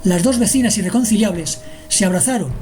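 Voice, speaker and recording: Female storyteller for radio fiction, scripted delivery, soft-edged warm timbre, medium pitch, music bed. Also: fairly quick. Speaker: narrator. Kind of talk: scripted radio drama narration. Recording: music behind the narration.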